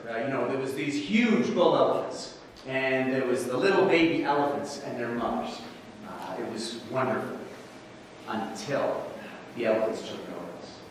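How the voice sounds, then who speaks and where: A man giving a humorous storytelling speech at a contest, with an animated, theatrical manner. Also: mid-range voice. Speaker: contest speaker. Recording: echoey hall, distant mic, background noise.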